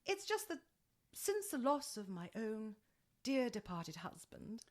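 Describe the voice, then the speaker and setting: A woman's voice: a little high, very animated, takes it slowly, exaggerated voice. A woman playing a gushing, genteel middle-aged widow who runs a dating agency in a radio play, effusive and chatty. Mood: coy confiding.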